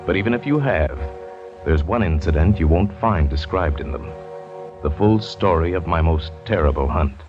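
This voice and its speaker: A dull old radio recording with loud music carries a self-assured male big game hunter. His voice is low and he speaks with dismissive confidence, in the manner of a 1940s radio adventure. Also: animated, moderate pace. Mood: grave, measured. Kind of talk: 1940s radio drama acting, first-person narration.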